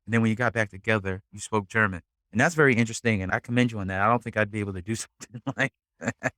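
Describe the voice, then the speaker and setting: A man's voice: fairly low pitch, racing, bright, forceful tone, no echo. A man hosting a podcast, chatting casually and informally, affirming and restating points as he goes. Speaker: podcast host. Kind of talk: casual interview chat.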